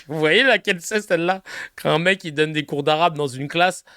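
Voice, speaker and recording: Animated, loud-laughing man host. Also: on the high side, normal speed, clear, resonant tone, no echo. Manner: animated, laughing, swearing, mocking. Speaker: streamer host reacting to clips. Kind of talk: casual live reaction commentary.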